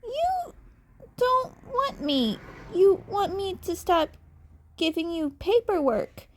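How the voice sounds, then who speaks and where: A woman's voice: extremely high pitch, big pitch swings, slow, a little hiss or hum, voice pushed up, exaggerated voice. A woman voicing an insecure, vulnerable young cat-child boy in a fan fiction audiobook. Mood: hurt, bitter.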